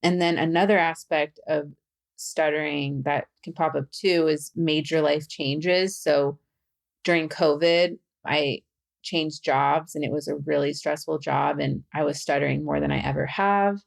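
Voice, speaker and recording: A young adult woman who stutters, speaking as a podcast guest in a relaxed conversational way, with halting phrasing and restarts. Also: low voice, vocal fry. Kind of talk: conversational interview.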